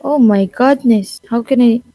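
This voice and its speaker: A woman chatting casually and excitably while playing a mobile shooter. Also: a little high, unhurried, background noise. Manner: excitable, laughing. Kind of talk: casual gameplay chat. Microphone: phone mic. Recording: dry close sound with game music behind.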